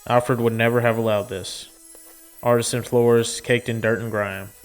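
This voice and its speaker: A man's voice: mid-range voice, flat delivery, moderate pace, faint music behind. A man reading a visual novel aloud as a gaming streamer, in a storytelling style with casual asides.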